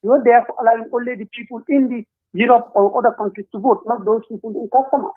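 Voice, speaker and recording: A man, speaking as a panel guest in a political discussion, earnest and deliberate with frequent hesitations. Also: very high voice, steady tone. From Gambia. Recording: tinny phone line.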